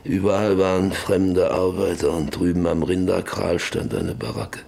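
Guilt-ridden farmer, middle-aged man, husky, creaky, halting, repetitive, radio play. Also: sits low, steady tone, a little mellow. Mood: brooding, murmured. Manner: halting, repeating himself, half-murmured reminiscing. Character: troubled farmer and father, bedridden, haunted by guilt. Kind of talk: German radio play acting.

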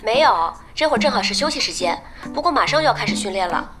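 A woman's voice: a little high, expressive, quick pace, over music, natural voice. An actress playing a friendly, bubbly young female gaming star in a Chinese audio drama. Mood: cheerful.

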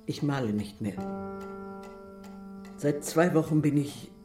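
An actress playing a weary, lonely older woman, terse and halting, in a German radio crime drama. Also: deep voice, over music. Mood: bleak resignation.

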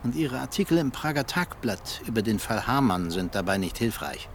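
An old statesman in a radio play, stiff and commanding. His mid-pitched voice is clipped and threatening, over faint outdoor ambience. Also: very animated, normal speed, slight background noise. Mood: imperious, threatening. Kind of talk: radio drama acting.

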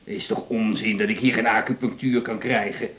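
An actor with a Netherlands Dutch accent playing a stubborn, complaining hospital patient in a radio drama, insistent and repeating himself. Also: male voice, lowish voice, fairly even intonation, a little fast, a bit bright, close to an everyday voice. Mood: grumbling, cheeky. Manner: insistent, repetitive, stammering protests.

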